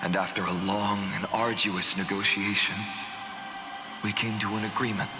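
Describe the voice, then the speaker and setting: An actor playing a grave, weary swordsman burdened by fate, speaking in measured, dramatic video game dialogue that sometimes drops toward a whisper. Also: fairly low pitch, steady tone, roomy sound, in a large hall, over music, natural voice. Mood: solemn, reflective.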